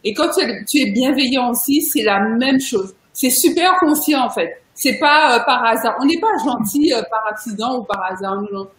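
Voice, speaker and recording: High-voiced chatty woman host. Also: animated, moderate pace, hardly any pauses, roomy sound, poor audio. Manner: chatty, emphatic, laughs often. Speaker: interview host. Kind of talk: casual video interview chat.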